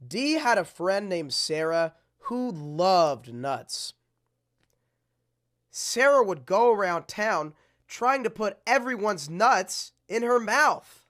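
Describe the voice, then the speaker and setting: Storytime host, unhurried and highly animated, exaggerated picture-book delivery with a deadpan streak. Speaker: host reading storybooks aloud. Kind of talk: mock storytime reading with deadpan asides.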